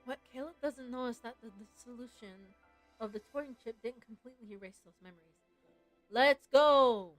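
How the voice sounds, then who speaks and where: A woman's voice: on the high side, moderate pace, low-quality recording. A playful, giggly woman streaming a game, chatting casually and reading story text aloud. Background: game music.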